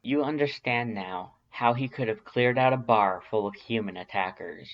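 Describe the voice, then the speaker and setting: A man's voice: medium pitch, moderate pace, muffled recording. A man narrating scripted fiction in a dramatic storytelling style.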